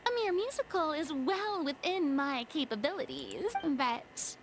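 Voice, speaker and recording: An actress playing a proud, theatrical young former goddess in a video game, haughty yet self-deprecating. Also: female voice, high-pitched, very animated, measured pace, background noise. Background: game music.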